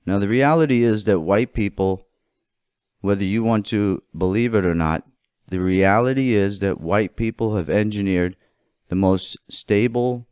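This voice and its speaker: A man from the United States delivers an opinionated political monologue, speaking deliberately and emphatically, phrase by phrase. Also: fairly low pitch, a little mellow, smooth, no room sound, studio quality. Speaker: political commentator.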